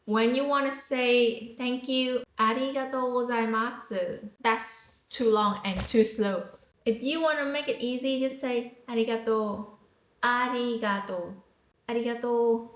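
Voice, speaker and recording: Woman, Vietnamese accent, young adult, bubbly and casual, fairly high voice, echoey room. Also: measured pace. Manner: excitable, repeats phrases for practice, playful slang. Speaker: travel vlogger teaching phrases. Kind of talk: casual chatty lesson. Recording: roomy echo.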